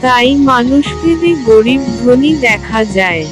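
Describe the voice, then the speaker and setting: A woman's voice: fairly high pitch, animated, unhurried. A woman voicing a curious, earnest little village boy in a cartoon moral story. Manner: inquisitive, earnest. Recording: music laid loudly under the voice.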